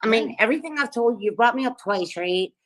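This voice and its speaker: Woman, quick talker, defensive and insistent, casual chat guest. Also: fairly high pitch, expressive, low-quality recording. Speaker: guest in a clipped live conversation.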